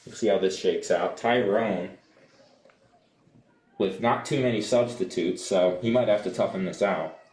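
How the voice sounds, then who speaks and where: A young adult man doing live soccer play-by-play for radio, describing the action in an animated way. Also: low voice, measured pace, pauses often, roomy sound. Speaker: play-by-play sportscaster.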